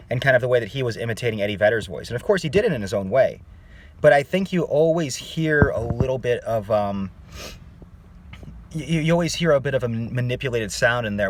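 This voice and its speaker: A man explaining singing technique in a relaxed, conversational way, often turning to the viewer with "okay?" His voice sits at an ordinary middle pitch. A faint noise stays under the recording. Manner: conversational, addresses viewers as "guys", frequent "okay?" check-ins. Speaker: voice teacher. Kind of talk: casual online singing lesson.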